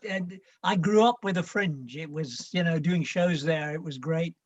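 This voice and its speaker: A man's voice: a little high, moderate pace, muffled recording. An older man with a Scottish accent, chatting and telling stories with a ready laugh. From Scotland. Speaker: reading group member. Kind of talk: casual chat.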